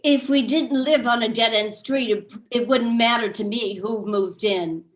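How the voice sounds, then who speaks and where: A woman's voice: on the high side, measured pace, lightly acted. A woman playing a gossipy, anxious suburban housewife in a staged play reading. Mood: coy, uneasy. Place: video call.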